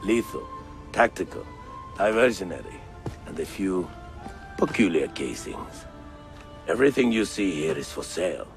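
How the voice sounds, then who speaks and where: A gruff Ottoman navigator and bomb merchant talks in a low voice with a Turkish accent. He is proud, dry and deliberate. Soft game music sits underneath. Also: very animated, exaggerated voice, low-quality recording. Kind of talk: cinematic video game acting.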